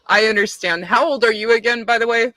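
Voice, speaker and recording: A man's voice: very high-pitched, fairly even intonation, brisk, pushed and bright, dry recording. A man hosting a casual interview, teasing his guest and laughing often. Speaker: host.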